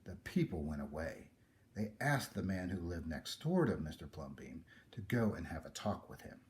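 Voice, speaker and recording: A man reading a children's picture book aloud in a theatrical way, with dramatic pauses. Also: deep voice, sing-song, a little mellow. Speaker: story reader.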